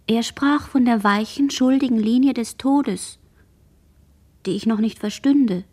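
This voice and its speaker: A woman's voice: on the high side, darkish tone, breathy edge. An actress playing a traumatized girl in a radio play, reciting fragmented memories like an incantation. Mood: brooding. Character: traumatized girl, barely conscious witness, dreamily remembering. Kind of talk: literary radio play monologue.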